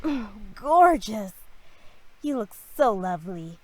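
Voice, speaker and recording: A woman's voice: mid-range voice, sing-song, slow, rough recording. A woman playing a doting, gushing, well-to-do middle-aged mother in a visual novel. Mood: doting, proud.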